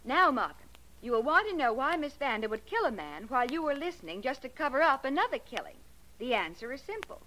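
An actress playing an eager, adoring American secretary to a detective, perky and deferential in 1940s radio drama style. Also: female voice, high voice, very animated, fairly quick, bright, forceful tone, exaggerated voice, rough recording. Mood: reading notes.

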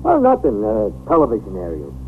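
Halting, obliging building superintendent. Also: male voice, lowish voice, huge pitch swings. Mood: hesitant, obliging. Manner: halting, unsure, repeats himself. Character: hesitant, obliging apartment building superintendent being questioned. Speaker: actor. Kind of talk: 1950s radio crime drama acting. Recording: tinny, faintly noisy 1950s radio broadcast.

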